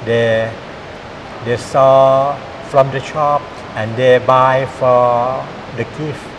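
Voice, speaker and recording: A man with a Thai accent, an art lecturer answering interview questions in English, pausing often with "uh" and "yeah". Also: mid-range voice, level tone. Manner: halting, many "uh" pauses and "yeah" fillers. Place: exhibition hall. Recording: noisy hiss in background.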